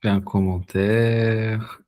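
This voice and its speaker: A man's voice: lowish voice, level tone, a little mellow. A Brazilian man whose first language is Portuguese, hosting an online spiritist study session and often restarting his words. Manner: slow, halting, repeats words as he searches for them. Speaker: host of a spiritist study group.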